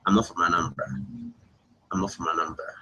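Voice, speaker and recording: A man's voice: low-pitched, flat delivery, brisk, bright, forceful tone, slight background noise. A man with a Nigerian accent hosting a casual live webinar, chatting with his online audience and often repeating his phrases. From Imo State, Nigeria. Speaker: webinar host, internet marketing coach.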